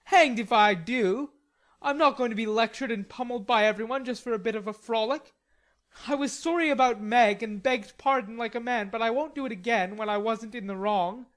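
Stubborn, hot-tempered teenage lad, played by a female reader; storybook dialogue, emphatic, higher-pitched delivery. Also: fairly quick, close to an everyday voice. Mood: indignant. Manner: emphatic, protesting. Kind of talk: audiobook character reading.

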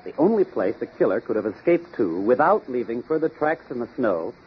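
An actor playing a keen, self-assured American detective, articulate and in command, in old-time radio drama style. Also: male voice, mid-range voice, very animated, a little fast, natural voice. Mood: keen deduction. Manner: articulate, deductive, takes charge. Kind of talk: 1930s-40s American radio acting. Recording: dull, noisy vintage radio recording.

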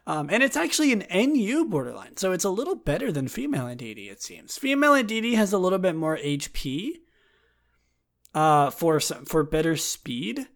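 Man, hoarse raspy throat, slightly high-pitched, rambling casual host, restarts sentences, self-deprecating. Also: sing-song, moderate pace, a bit bright. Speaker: podcast host. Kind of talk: casual solo commentary, partly reading a write-up aloud.

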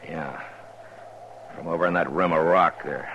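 Low-voiced, steady frontier lawman. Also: measured pace, dull audio. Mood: wary, calm. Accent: American. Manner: terse, plainspoken, composed. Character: steady, determined American Old West lawman. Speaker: narrator and lead actor. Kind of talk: 1950s radio western acting. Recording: noisy vintage radio recording.

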